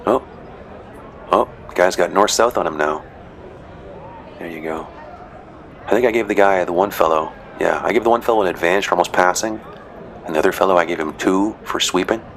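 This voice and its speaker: A man's voice: sits low, a little fast, pauses often, a bit bright, slight background noise. A man casually narrating footage of matches he refereed, chatty and rambling with dry joking asides. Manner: rambling, chatty, frequent "you know" and "uh". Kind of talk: casual commentary.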